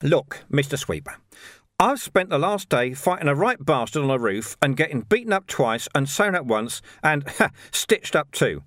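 A male reader plays a blunt commander of the watch in a fantasy storybook. He is impatient and demanding, with very animated intonation and a dry, close sound. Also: on the high side, moderate pace, dry recording, voice pushed up. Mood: angry, insistent. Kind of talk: audiobook character dialogue.

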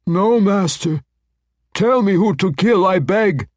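A man's voice: a little high, slow pace, husky, dry recording, clean studio sound, pitched above the performer's own voice. A man voicing a submissive female demon who pleads eagerly with a master in a fantasy audiobook. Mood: alarmed begging. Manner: servile, pleading.

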